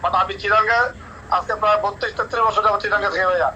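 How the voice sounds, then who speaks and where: Middle-aged male caller, high, flat delivery, halting repetitive phrasing. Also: highs cut off, a little hiss or hum. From Cumilla, Bangladesh. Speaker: remote interview guest. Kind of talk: unscripted recounting.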